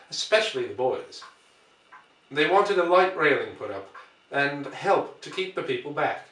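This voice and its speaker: A man reading a classic novel aloud as dramatic narration, with measured, theatrical phrasing. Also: medium pitch, fairly bright tone. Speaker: audiobook reader. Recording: roomy echo, distant microphone.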